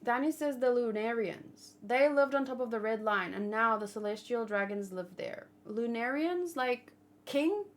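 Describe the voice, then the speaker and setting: Female livestream host, on the higher side in pitch, informal discussion style, opinionated and reasoning as she goes. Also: animated, unhurried. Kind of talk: casual chat, manga discussion and commentary.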